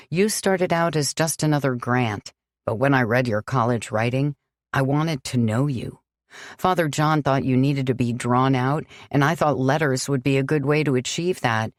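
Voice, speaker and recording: An earnest young man pleads for forgiveness in a low, steady voice, read by a woman narrator. He sounds sincere and remorseful. The recording is dry and close. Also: moderate pace, dry recording.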